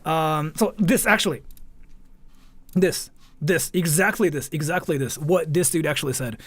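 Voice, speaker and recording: A man from Japan chatting casually on a livestream in an animated, rambling, repetitive way, blunt and sweary. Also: fairly high pitch, moderate pace, dry recording. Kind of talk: casual livestream reaction chat.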